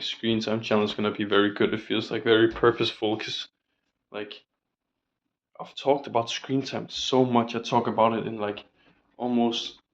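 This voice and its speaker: A man's voice: on the low side, creaky, dull audio. A young man rambling casually in a diary vlog, full of fillers and casual swearing, his voice still recovering from a sore throat. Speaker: vlogger.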